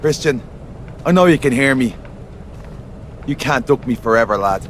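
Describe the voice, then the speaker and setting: A man's voice: mid-range voice, animated, normal speed, close to an everyday voice. A man playing a menacing, ambitious villain wrestler in a video game, with faint noise behind. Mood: threatening.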